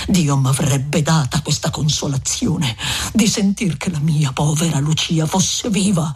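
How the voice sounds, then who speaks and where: Reader playing elderly male friar in a literary audiobook: severe, anguished, admonishing, heavily raspy delivery. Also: mid-range voice, pitched above the performer's own voice. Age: old. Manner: solemn, admonishing, dramatic. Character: old friar, stern, righteous, anguished and merciful.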